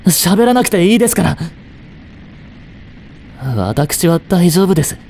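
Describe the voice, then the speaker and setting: A man voicing a devoted, protective young butler in a romantic voice drama, frantic while searching a fire and soft and tender at a bedside. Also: sits high, lively intonation, unhurried, gravelly. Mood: urgent. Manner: soft, gentle and intimate in the bedside scene.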